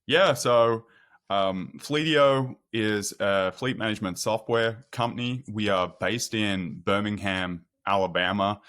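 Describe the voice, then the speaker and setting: A man's voice: fairly low pitch, slow pace, a bit bright, smooth. A man in his thirties with an Australian accent, chatting as a guest on a podcast, friendly and often repeating words as he starts a thought. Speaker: guest, product marketing manager. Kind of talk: casual podcast interview.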